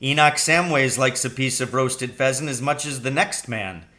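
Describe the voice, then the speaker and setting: A man's voice: mid-range voice, normal speed, bright, forceful tone, natural voice. A man voicing a proud, confident poacher father who speaks in an assured, instructive way in a storybook reading.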